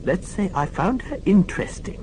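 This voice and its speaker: A woman's voice: on the low side, animated, moderate pace, a little mellow, a little hiss or hum, voice pushed up, natural voice, rough recording. A man reading an audiobook, voicing a deaf former head nurse in her eighties who talks in a precise, lecturing way. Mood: pedantic. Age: 80s.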